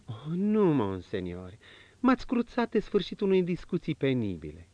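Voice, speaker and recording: A man's voice: fairly high pitch, huge pitch swings, darkish tone, no echo, voice pushed up. A man voicing an emotional young noblewoman in a dull lo-fi audiobook reading. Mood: earnest.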